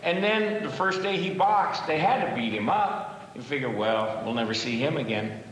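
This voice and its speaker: A man's voice: a little high, lively intonation, moderate pace, a bit bright. A man telling anecdotes informally in a documentary interview. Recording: very echoey room, slight noise.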